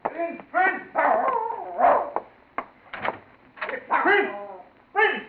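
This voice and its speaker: An actor playing a blunt, excitable, deferential male servant with a Yorkshire accent in a 1940s radio mystery. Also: extremely high pitch, extremely slow, crowd noise, heavily acted. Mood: calling out. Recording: dull, very noisy 1940s radio recording.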